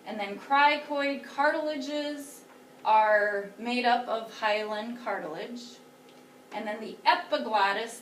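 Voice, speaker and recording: A woman's voice: a little high, a bit bright, slight background noise. A woman teacher giving a classroom lecture, explaining step by step and pointing to pictures on slides. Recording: roomy, a bit far from the mic.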